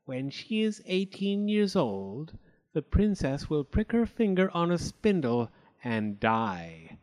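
Figure: a man doing a cruel old witch. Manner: haughty, menacing, slow when cursing. Pitch: raised. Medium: storybook reading. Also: sing-song, darkish tone. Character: wicked old female witch, proud and vengeful.

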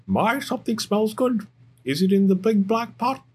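A man's voice: high-pitched, expressive, moderate pace, darkish tone, slight background noise, voice pushed up. A man voicing a polite, effusive female duck arriving as a party guest in a children's storybook. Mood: delighted.

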